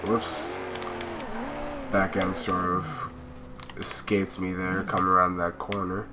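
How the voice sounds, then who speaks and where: A man's voice: low-pitched, flat delivery, slow pace, heavy background noise. A young man with a cold commenting casually as he plays a racing game, rambling with fillers. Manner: laid-back, rambling with fillers. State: stuffy with a cold. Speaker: gaming channel host.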